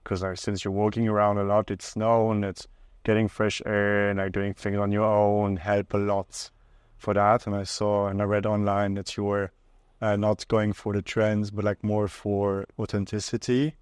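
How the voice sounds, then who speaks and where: A man's voice: lowish voice, completely flat delivery, normal speed, smooth, dry recording, clean studio sound. A man working as an interviewer, asking questions in a conversational style.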